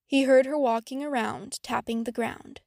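A woman's voice: high-pitched, fairly even intonation, moderate pace, vocal fry, darkish tone, dry recording, studio quality. A woman reading a story aloud as scripted audiobook narration. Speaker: fan fiction audiobook narrator.

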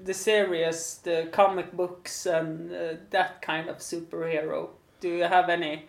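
A woman's voice: on the low side, little pitch movement, measured pace, vocal fry, reverberant. A woman with a Swedish accent hosting a casual podcast interview, hesitant with many fillers and easy laughs. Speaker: podcast host.

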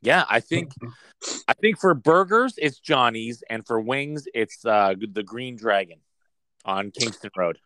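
Man, casual podcast co-host, animated pitch, jokey ad-announcer bits. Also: unhurried, low-quality recording. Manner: playful, slips into a mock ad-announcer voice. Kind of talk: casual chat.

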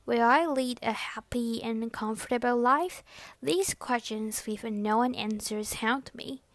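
A young woman whose first language is Chinese speaks in a soft, earnest voice on a personal podcast. Her voice sits a little high, and the sound is dry and close, with no room around it. She talks in a reflective monologue that sounds lightly scripted. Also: measured pace, no room sound. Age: young adult. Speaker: podcast host.

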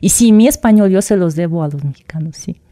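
A young woman from Russia, speaking Spanish as an in a casual interview, thoughtful and warm in her explanations. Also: fairly low pitch, animated, fairly quick, no echo, clean studio sound. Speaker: interview guest.

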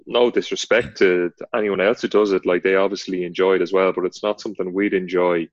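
A young man with a nasal voice, co-hosting a podcast in an understated, low-key way. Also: fast talker, no echo. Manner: low-key, not very expressive. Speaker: podcast co-host. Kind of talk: casual chat. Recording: dull, lo-fi, dry sound.

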